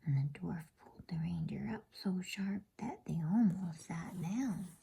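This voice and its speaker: A woman whispering an ASMR bedtime story as a storyteller, with a breathy voice. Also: lowish voice, unhurried, warm tone, slight background noise.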